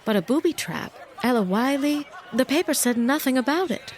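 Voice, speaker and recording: Evasive young wife in a detective radio play actress, kinda high voice, polite but defensive, hesitates. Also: female voice, expressive, moderate pace. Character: guarded young married woman under suspicion, evasively polite. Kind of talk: noir radio drama acting.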